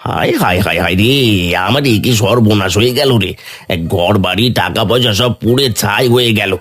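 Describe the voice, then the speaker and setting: Low-voiced wailing village villain, played by a man. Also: fairly quick, a bit bright, faint music behind. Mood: despairing, remorseful. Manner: lamenting, repetitive moaning. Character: wailing, remorseful village wrongdoer. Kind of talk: Bengali fairy-tale cartoon acting.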